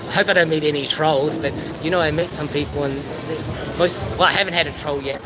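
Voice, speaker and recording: A man with a squeaky voice, chatting casually and at length as a travel vlogger on a live stream, a bit over-caffeinated. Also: fairly even intonation, a little fast. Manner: chatty, rambling. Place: outdoors in a busy city. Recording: loud outdoor street noise with traffic.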